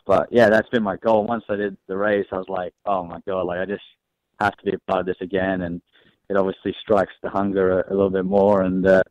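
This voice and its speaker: A man's voice: deep voice, dry recording. A young man with a partly Australian, partly American accent, speaking as a racing driver on a phone-in radio interview, easygoing and laughing often. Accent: mixed Australian and American. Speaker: race car driver guest. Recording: dry, dull phone-line sound.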